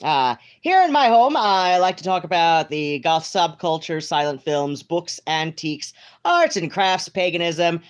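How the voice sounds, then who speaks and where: Slow, rambling home live-streamer. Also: medium pitch, smooth, lo-fi sound. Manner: meandering, many fillers and restarts.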